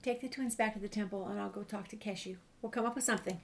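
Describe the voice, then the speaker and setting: A woman's voice: medium pitch, fairly even intonation, quick pace. A woman playing through a video game, casually reading dialogue aloud and reacting. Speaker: gamer playing a walkthrough. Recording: noisy, ambient background sound.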